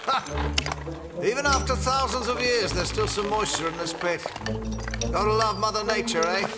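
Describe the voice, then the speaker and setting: A breezy, teasing adventurer played by a man in an audio drama. His voice is high and cheerful, carried over loud music. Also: moderate pace, close to an everyday voice. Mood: jaunty. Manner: jaunty, teasing. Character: cheerful, carefree adventurer guide in a pit.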